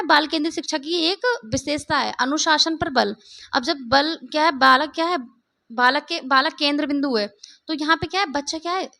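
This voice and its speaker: Woman, hoarse, teacher, exam-prep lecture, high-pitched, gravelly, dry close sound. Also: pushed and bright, dry recording. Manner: repeats points, keeps asking "ठीक है?".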